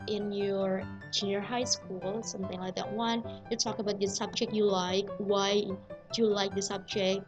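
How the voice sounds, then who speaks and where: A woman teacher with an Indonesian accent giving a recorded English lesson in an upbeat, chatty way, often calling her students "guys". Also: mid-range voice. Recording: lesson video with background music. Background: background music.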